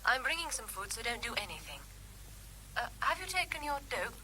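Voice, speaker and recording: An actress playing a patient, solicitous young woman, gently looking after her partner. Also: on the high side, very animated, brisk, slight background noise, low-quality recording. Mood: caring, concerned. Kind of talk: radio drama dialogue.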